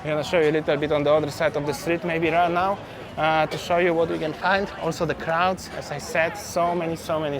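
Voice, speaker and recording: Chatty Polish man touring a street market. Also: on the high side. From Poland. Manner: chatty, repeats words, simple non-native phrasing. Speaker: travel vlogger. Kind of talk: walking market tour vlog. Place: busy outdoor street market.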